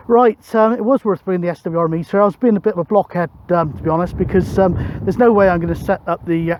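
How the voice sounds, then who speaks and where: A middle-aged man with a notably high voice talks casually to camera out in the open. He is hesitant, working out his words as he goes. Faint wind noise sits behind him. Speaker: radio hobbyist vlogger. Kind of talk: casual outdoor vlog narration. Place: open hilltop field, outdoors. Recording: outdoor recording with wind noise.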